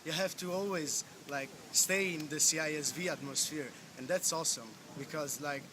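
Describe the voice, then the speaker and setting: Young man, Italian accent, fairly high voice, halting casual talk, noisy background. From Italy. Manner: halting, peppered with "like". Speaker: youth organization representative. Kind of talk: casual interview answer. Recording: clear ambient background noise.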